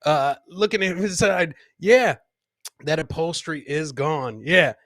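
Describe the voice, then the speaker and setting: Guy doing a comedy podcast, kinda high bright voice, loud slangy riffing on clips, close dry mic. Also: measured pace, no echo. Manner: boisterous, slangy, repeats catchphrases. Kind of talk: casual live commentary on funny clips.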